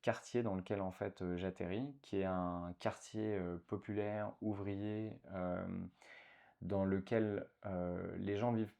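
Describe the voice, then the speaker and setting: A man's voice: low-pitched, flat delivery, takes it slowly, creaky, warm tone. A man in his thirties from France, a guest in a casual interview, talking with many fillers and restarts.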